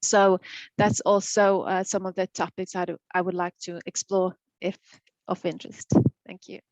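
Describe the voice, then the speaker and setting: A woman making formal academic remarks on a video call in a measured way. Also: fairly low pitch, level tone, darkish tone, no room sound, poor audio. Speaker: panelist.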